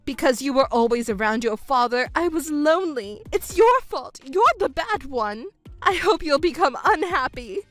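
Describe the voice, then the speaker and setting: A woman's voice: fairly high pitch, a little fast, few pauses, faint music behind, lightly acted. A woman voicing a selfish, self-pitying middle-aged mother in a scripted family drama, whiny and indignant in a melodramatic way. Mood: bitter fury.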